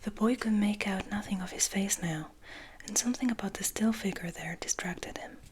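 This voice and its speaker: A woman whispering softly and slowly as an ASMR host, in a hushed manner with gentle pauses. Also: medium pitch. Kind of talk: whispered, soft-spoken ASMR. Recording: noticeable background noise.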